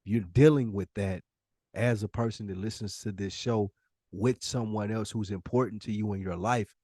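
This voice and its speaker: Deep-voiced American man giving emphatic advice. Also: steady tone, moderate pace, a little mellow, no echo, clean studio sound. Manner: emphatic, repeats phrases for weight. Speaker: podcast co-host. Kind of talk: conversational advice on a talk podcast.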